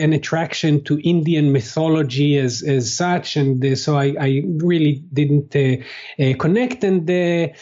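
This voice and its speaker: A man whose first language is Hebrew, answering interview questions as a translator, pausing often with fillers and restarts. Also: a little high, mellow, lo-fi sound. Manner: halting, many fillers and restarts. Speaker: guest, translator.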